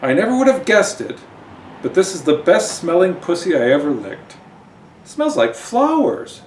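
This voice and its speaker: A raunchy, sarcastic comic-book heroine as acted out by a man, pitched well up and loudly exclaiming. The mic sounds distant, in a roomy space with faint noise. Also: sing-song, moderate pace. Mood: cheeky delight. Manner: loud, exclaiming.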